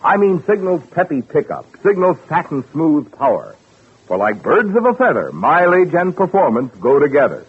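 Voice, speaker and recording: A man's voice: on the high side, wildly swooping pitch, moderate pace, a little hiss or hum. A man announcing a commercial in folksy, salesmanlike 1940s radio style. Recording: muffled, hazy vintage radio recording.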